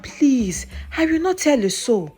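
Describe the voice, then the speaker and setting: A woman's voice: high-pitched, sing-song, measured pace, dark tone, breathy edge, faint music behind, voice pushed up, full character voice. A woman storyteller voicing a mischievous, eager young village gossip in a theatrical, exclamatory way for a folktale. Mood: pleading. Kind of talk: animated folktale character voice.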